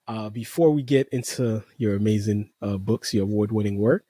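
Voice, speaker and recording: A man hosting a podcast, introducing and interviewing a guest in a relaxed, appreciative way with casual fillers. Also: lowish voice, moderate pace, no echo. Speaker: podcast host.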